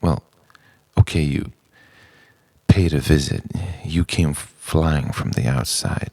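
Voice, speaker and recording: A man narrating an introspective spoken-word monologue in halting, musing phrases full of rhetorical questions. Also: rumbling low, gravelly, dark tone, no room sound. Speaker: narrator of a personal art film.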